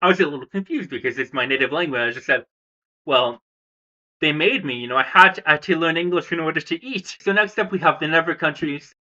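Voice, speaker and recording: A man from the United States rambles casually on camera, stopping and restarting his sentences with plenty of ums. His voice is bright and a touch high. The sound is dull and lo-fi. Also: fast talker. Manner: hesitant, lots of fillers and restarts. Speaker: language vlogger.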